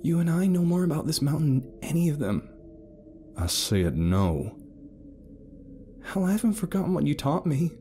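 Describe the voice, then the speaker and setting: A man doing a soft, hesitant young woman. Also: a little high, sing-song, normal speed, pauses often, slight background noise, voice pushed up. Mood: hurt. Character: young woman, fragile and guilt-ridden.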